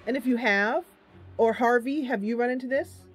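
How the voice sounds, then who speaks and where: A woman's voice: fairly high pitch, normal speed, husky, faint music behind. A woman hosting a casual let's-play, thinking aloud through the game with frequent ums and talking directly to her viewers. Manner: playful, addresses viewers directly. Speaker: gaming channel host.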